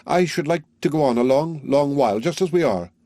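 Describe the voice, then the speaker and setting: A man reading aloud, voicing an earnest, loving young village girl who talks gently and simply. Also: fairly high pitch, lively intonation, fairly quick, no room sound, studio quality, pitched above the performer's own voice, lightly acted. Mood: tender.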